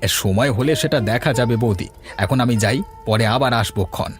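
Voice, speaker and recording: A man's voice: medium pitch, moderate pace, natural voice. A man voicing an easygoing village character in a cartoon fairy tale, talking in a colloquial, chatty way. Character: village men: elder headman, easygoing bachelor neighbour. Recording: music under the voice.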